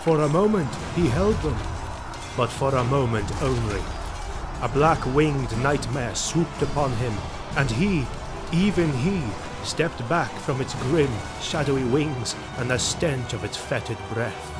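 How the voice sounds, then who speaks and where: A man narrating a fantasy audio drama in a grave, dramatic storytelling manner. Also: mid-range voice. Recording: music behind the voice. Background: dramatic music.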